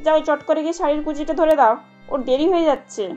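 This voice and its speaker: A woman's voice: sits high, brisk, dark tone, highs cut off, faint music behind, voice pushed up, lightly acted. A woman voicing a stern, fault-finding older mother-in-law in a cartoon story, scolding and sarcastic.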